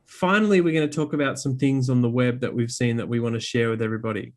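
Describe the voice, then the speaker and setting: A man's voice: mid-range voice, fairly even intonation, fast, a little mellow. A man co-hosting a podcast in an upbeat, informal, chatty way. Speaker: podcast host. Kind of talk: casual podcast chat.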